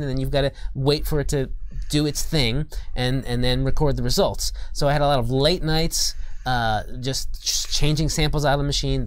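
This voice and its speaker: A man's voice: mid-range voice, no echo. An American man, a guest game designer, chatting casually in a studio and telling anecdotes in a hesitant, self-deprecating way.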